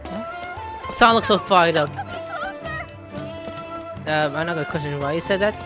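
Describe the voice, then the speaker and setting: A man's voice: a little high, animated, measured pace, over music. A man streaming a video game with playful, goofy live commentary, breaking into sound effects. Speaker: gaming streamer reacting to a game.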